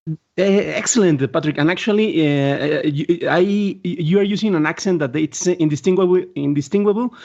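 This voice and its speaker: A man from Mexico co-hosts a casual podcast in a somewhat high voice. He stammers and fills his speech with pauses as he searches for words. His audio comes through dull and lo-fi. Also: creaky, a little mellow. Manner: hesitant, many fillers and stammers. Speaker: podcast co-host. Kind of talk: casual remote chat.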